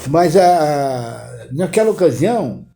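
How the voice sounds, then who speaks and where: An older man with a Brazilian accent hosting a casual talk show, animated, repeating words and trailing off as he remembers. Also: fairly high pitch, takes it slowly, gravelly. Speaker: host. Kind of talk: casual reminiscing chat. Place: TV studio.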